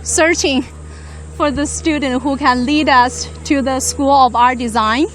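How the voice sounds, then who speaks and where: High-voiced woman presenting live, unhurried. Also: steady tone, a bit bright, a little hiss or hum. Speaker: live-stream host, reporter. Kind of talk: live walk-and-talk presenting, casual chat. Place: outdoors on a university campus. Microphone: handheld microphone.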